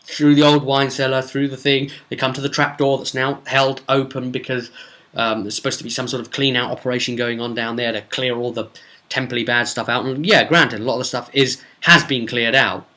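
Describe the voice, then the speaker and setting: A man speaking as a game master, casually retelling a role-play session with emphatic, drawn-out words for suspense. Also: mid-range voice, fairly even intonation, bright, forceful tone. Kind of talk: casual spoken storytelling recap.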